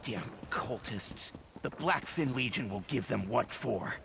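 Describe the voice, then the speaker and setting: An actor playing a gruff, confident male soldier in a video game, heard at a distance amid ambience. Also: mid-range voice, expressive, measured pace, a bit bright, a little hiss or hum.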